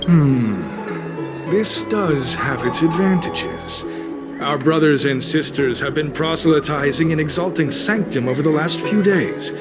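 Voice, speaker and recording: An actor playing a calm, coolly sinister cult recruiter in a video game, speaking formally with polite menace. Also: male voice, a little high. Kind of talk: scripted video game cutscene acting.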